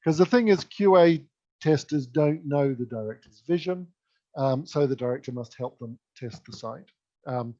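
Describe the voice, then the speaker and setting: A man's voice: medium pitch, gravelly, a little mellow. A man giving an instructive, emphatic conference keynote presentation. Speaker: keynote speaker. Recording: dull lo-fi sound.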